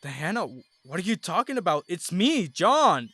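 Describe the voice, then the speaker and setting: A man acts out an anxious teenage schoolboy in an audio drama, smitten and jumpy. His voice is fairly high and swings widely in pitch, recorded close and dry. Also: very animated, normal speed, pushed and bright, no echo, exaggerated voice. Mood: alarmed. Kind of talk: amateur audio drama acting.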